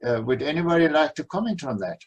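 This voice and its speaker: A man's voice: on the high side, animated, fast talker. A man speaking carefully and questioningly in a panel discussion. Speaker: discussion participant.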